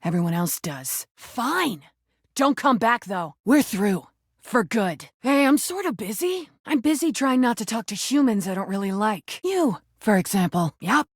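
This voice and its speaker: Brazen, sarcastic rubber duck, dry recording. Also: female voice, on the high side, big pitch swings, measured pace, breathy edge, no room sound, heavily acted. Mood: curt, hostile. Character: crude, flirty, brash rubber duck come to life. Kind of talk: video game character voice lines.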